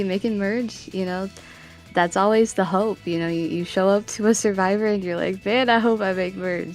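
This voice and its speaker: A woman with a mid-range voice chats about making it this far in the game. She is upbeat and loose, filling gaps with "you know". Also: medium pitch. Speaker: player in a online game contest. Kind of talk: casual confessional about game strategy.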